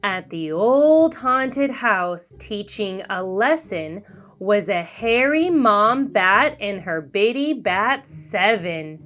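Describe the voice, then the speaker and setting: A woman hosting a children's read-aloud, telling a storybook in an expressive, sing-song way. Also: medium pitch, soft background music. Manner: animated, slow and deliberate sing-song delivery.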